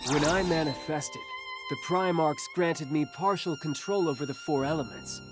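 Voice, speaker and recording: A woman's voice: deep voice, moderate pace, natural voice. A woman voicing a grandiose divine emissary in a video game, declaiming in lofty, archaic, theatrical phrases. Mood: assured. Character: grandiose divine emissary Primal, theatrical, exuberant, young-sounding. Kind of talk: scripted video game dialogue. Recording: orchestral music behind the voice.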